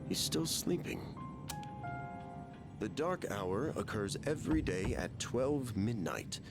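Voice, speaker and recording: A man voicing a genial, enigmatic middle-aged chairman in a video game, with a measured, explanatory manner. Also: on the low side, very animated, full character voice. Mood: calm musing. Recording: loud music behind the voice.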